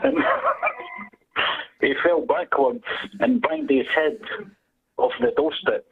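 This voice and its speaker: Husky Scottish caller on a tinny phone line. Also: male voice, sits high, slow pace. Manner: animated, laughs at his own story. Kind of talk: comic storytelling.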